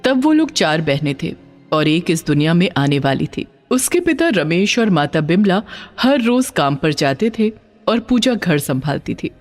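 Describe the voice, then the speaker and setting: Female story narrator: expressive, emphatic, very lively intonation, faint music under dramatic scripted tale. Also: fairly low pitch, a little slow.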